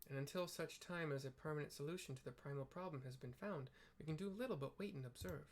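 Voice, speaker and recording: A man voicing a formal, composed scholar giving counsel in measured, archaic, courteous phrasing. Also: on the high side, natural voice. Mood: measured, concerned.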